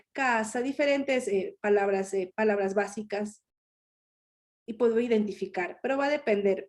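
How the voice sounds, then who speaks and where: Woman interpreter, high mellow voice, short explanatory phrases on a video call. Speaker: sign language voice interpreter. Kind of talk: live voicing of a signed talk.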